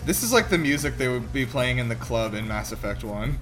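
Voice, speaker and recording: A man's voice: medium pitch, lively intonation, fairly quick. A man giving casual, wry, joking commentary as he reacts to a film. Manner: wry, mocking. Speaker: reaction show host. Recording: film soundtrack music behind. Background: film being watched, music.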